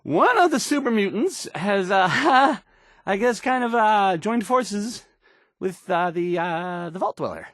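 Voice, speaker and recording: An actor playing a nervous, bumbling, insecure male radio DJ in a video game, with a halting, stammering, unsure delivery. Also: high voice, animated, fairly bright tone, no room sound, studio quality, full character voice. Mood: nervous, flustered. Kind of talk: in-character radio news broadcast, video game voice acting.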